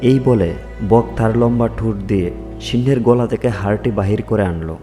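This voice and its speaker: Guy narrating a kids fable, lowish mellow voice, some music under it. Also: moderate pace. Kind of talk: scripted fairy-tale narration.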